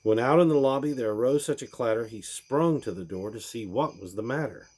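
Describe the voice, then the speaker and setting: A man reading a rhyming storybook aloud in a theatrical way, with deliberate pauses. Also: medium pitch, a little mellow, husky. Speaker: reader of a storybook.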